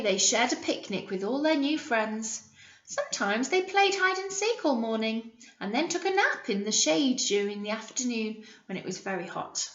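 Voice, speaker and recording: A British woman reads a storybook as a primary teacher would, with a highish, very lively voice that rises and falls. She speaks simply to young children and stops to ask them questions. The sound is roomy. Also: highs cut off. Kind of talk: picture book read-aloud with teaching asides and questions.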